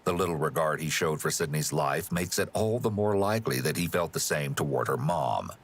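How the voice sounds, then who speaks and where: A man reading scripted true-crime narration in a measured, serious manner. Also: a bass voice, expressive, slightly rough.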